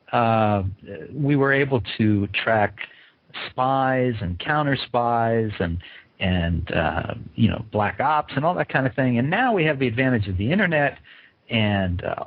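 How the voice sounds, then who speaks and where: Thin, phone-like audio carries a husky American man conducting an interview. He is conversational and informal, working toward his questions by thinking aloud, with very little room sound. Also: medium pitch, no room sound. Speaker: interviewer, show host.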